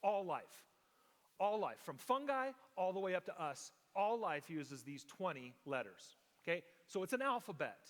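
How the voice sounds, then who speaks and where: A man's voice: sits high, sing-song, moderate pace, a bit bright. Man preaching in a reverberant hall. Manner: explanatory, checks in with the congregation often. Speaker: pastor. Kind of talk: teaching sermon. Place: church sanctuary.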